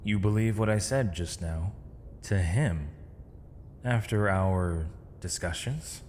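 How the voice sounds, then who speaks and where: An actor playing a proud, weary captive mage in an ASMR roleplay, with eloquent, theatrical and measured formal diction. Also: male voice, low-pitched, a little mellow. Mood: earnest, persuasive. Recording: reverberant hall, faint noise, dull lo-fi sound.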